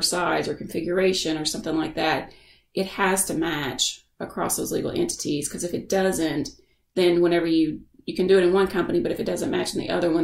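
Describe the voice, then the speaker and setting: A woman giving a casual software tutorial as a screen-share voice-over, explaining informally and thinking aloud. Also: fairly low pitch, vocal fry, reverberant. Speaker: software instructor.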